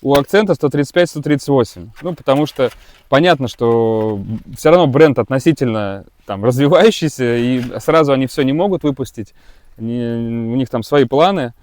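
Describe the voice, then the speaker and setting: A man who teaches kitesurfing talks through a board review in a loose, unscripted way. He stops often to hesitate and restart his thoughts. His voice sits at a medium pitch. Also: lively intonation, moderate pace, darkish tone. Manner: rambling, with many hesitations and restarts. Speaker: kite school instructor and gear reviewer. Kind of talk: casual gear review.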